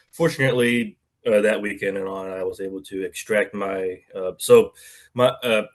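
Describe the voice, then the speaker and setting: A man co-hosting a casual chat podcast over a video call, his throat clogged and his speech halting with fillers. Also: lowish voice. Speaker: podcast co-host.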